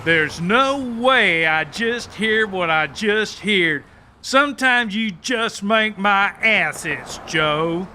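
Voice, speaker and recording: A man's voice: high-pitched, animated, takes it slowly, sharp tone, a little hiss or hum, exaggerated voice. A man voicing a brash, crude country cowboy killer in an audiobook, full of folksy insults and sayings. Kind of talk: audiobook character acting.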